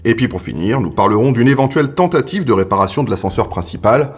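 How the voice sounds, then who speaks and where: A man playing a laid-back, slightly hesitant company boss running a meeting in a comedy audio drama, with a gravelly voice. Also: mid-range voice, lively intonation, fast, faint music behind. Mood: deadpan official.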